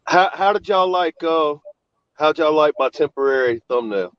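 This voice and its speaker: A man's voice: on the high side, normal speed, smooth, no room sound, muffled recording. A man hosting a casual chat, congested and sniffly as he recovers from illness, rambling through his stories. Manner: rambling, relaxed storytelling. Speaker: host.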